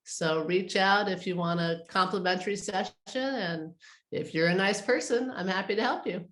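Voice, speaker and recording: A woman's voice: mid-range voice, moderate pace, fairly bright tone, reverberant. A woman speaking conversationally as an advisor being interviewed, explaining her work and giving practical advice. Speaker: interview guest, art advisor.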